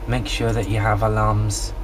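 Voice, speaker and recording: Low-voiced man giving a noisy tutorial. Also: level tone, moderate pace. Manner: plain, instructional. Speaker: amateur radio hobbyist giving a software tutorial. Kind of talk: informal step-by-step tutorial narration. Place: small home radio room, indoors. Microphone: handheld camera or phone microphone. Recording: noisy handheld camera in radio room.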